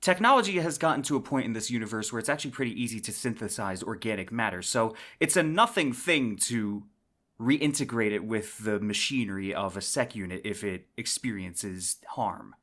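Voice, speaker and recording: A man's voice: lowish voice, animated, normal speed, a bit bright. A man hosting a podcast, giving semi-scripted book commentary in a conversational, explanatory way with casual asides. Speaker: podcast host.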